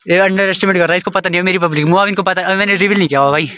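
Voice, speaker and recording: A man's voice: high voice, fairly even intonation, rapid-fire, crowd noise. A young man chatting casually through a travel vlog, outdoors on mountain roads and trails, recorded on a phone or helmet camera. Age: young adult. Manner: chatty, calls viewers brother constantly. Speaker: vlogger. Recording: wind and traffic noise outdoors.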